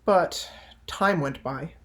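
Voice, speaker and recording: Male storyteller for children's read-aloud, measured picture-book narration with soft endings. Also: fairly high pitch. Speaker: storyteller reading aloud for a library.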